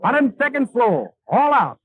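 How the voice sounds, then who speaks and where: A man playing a polite young elevator boy, deferential and deadpan, in a 1930s radio comedy. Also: very high-pitched, measured pace, husky, no room sound, heavily acted, rough recording. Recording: dry 1930s radio broadcast recording.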